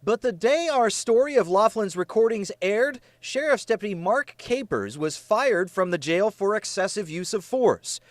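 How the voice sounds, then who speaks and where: American male investigative reporter, scripted and emphatic, high-pitched voice, dry close recording. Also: animated, moderate pace, hardly any pauses, no room sound. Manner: serious, emphatic broadcast delivery. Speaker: TV news reporter. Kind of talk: scripted investigative news narration.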